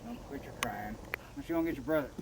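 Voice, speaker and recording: A man playing a gruff, grumbling but soft-hearted middle-aged father in a naturalistic found-footage film, with a curt, folksy way of talking. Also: medium pitch, very fast, slight background noise, lightly acted, low-quality recording. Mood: irritated. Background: music.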